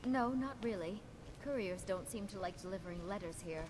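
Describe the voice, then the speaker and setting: Student mage female actor, fantasy game, dry delivery, clear noise in background. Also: mid-range voice, fairly quick, natural voice. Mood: offhand. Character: female student mage, dryly content to be left alone. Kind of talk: fantasy video game acting.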